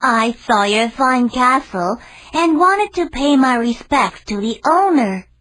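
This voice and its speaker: A shrill, high, scheming talking cat, eager and persuasive, and his pitch swings widely as he coaxes. He speaks slowly and leans on his words, and the tone is bright. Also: animated, full character voice, low-quality recording. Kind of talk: storybook character voice.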